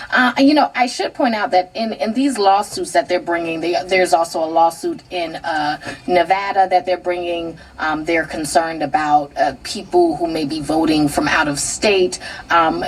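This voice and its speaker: Husky, halting American woman reporter. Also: lowish voice. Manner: halting, repeats words. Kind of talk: live unscripted news analysis. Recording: distant car radio picked up by camera.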